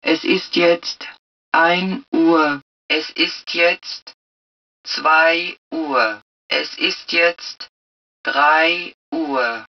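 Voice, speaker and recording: A woman voicing the calm computer of a protective suit in a video game, making impersonal, clipped, measured announcements. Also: sits low, distant mic, dull audio, heavily acted.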